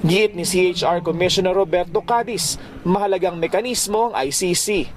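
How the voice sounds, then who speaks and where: High-pitched Filipino male news reporter. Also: fairly quick, crowd noise. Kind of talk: scripted news narration.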